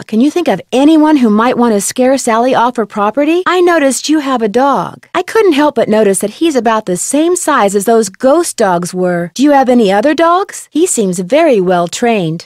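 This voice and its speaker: A woman playing a curious, upbeat young female detective in a video game, speaking clearly in a polite, friendly, inquisitive way. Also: a little high, darkish tone, no room sound, clean studio sound, close to an everyday voice. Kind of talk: scripted video game voice acting.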